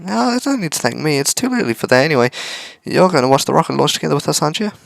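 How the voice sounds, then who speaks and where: A man's voice: on the high side, very animated, racing, creaky, dry recording, pitched above the performer's own voice. A man voicing a kind-hearted, emotional teenage schoolgirl in a visual novel, girlish and pleading. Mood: warm, puzzled.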